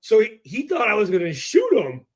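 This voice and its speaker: A middle-aged man with a fairly high-pitched voice tells a story in casual, profane language. He halts and repeats phrases as he goes, acting out what was said in the exchanges. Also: huge pitch swings. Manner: halting, repetitive, acts out exchanges. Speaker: podcast guest telling a story. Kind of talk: animated casual storytelling, heavy swearing.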